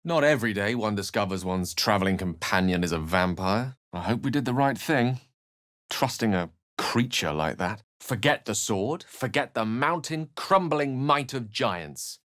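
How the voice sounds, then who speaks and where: Fantasy game wizard: low English voice, very dry sound, eloquent, measured and theatrical. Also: male voice, lively intonation, a bit bright, no room sound. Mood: earnest, concerned. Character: learned, eloquent adult male wizard adventurer. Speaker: video game voice actor. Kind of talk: dramatic scripted game dialogue and narration.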